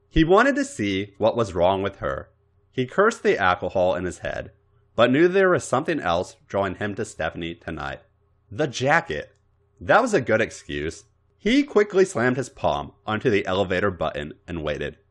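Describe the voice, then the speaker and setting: Man, low voice, story narration, very animated intonation, dramatic delivery. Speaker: podcast host reading fan fiction. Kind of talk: story narration with acted dialogue.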